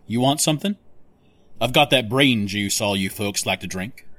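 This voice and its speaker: A man's voice: on the low side, expressive, moderate pace, fairly bright tone. A man playing a sardonic, suspicious official in an audio drama, questioning in a dry, pointed way. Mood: sardonic, probing.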